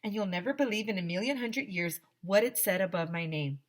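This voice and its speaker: A woman's voice: mid-range voice, brisk. A woman teacher reading a children's story expressively and chatting directly to young listeners. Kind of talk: expressive children's story read-aloud and chat.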